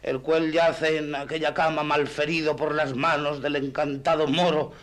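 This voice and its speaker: An actor playing a plain-spoken, aching peasant squire in a radio drama, deferential and plaintive. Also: male voice, fairly high pitch, little pitch movement, normal speed, a bit bright, lightly acted. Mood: pleading.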